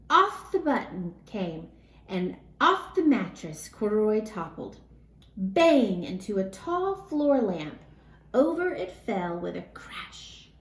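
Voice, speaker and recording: Animated woman teacher reading aloud, echoey room. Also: mid-range voice. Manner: instructive, clearly phrased, checking in with listeners. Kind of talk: children's storybook read-aloud lesson. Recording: roomy, echoing room sound.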